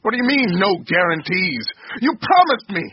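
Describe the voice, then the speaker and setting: A high-pitched, desperate broken man. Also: sing-song, moderate pace. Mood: frantic pleading. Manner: pleading, stammering. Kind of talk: audio drama acting. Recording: dull, hissy lo-fi radio recording.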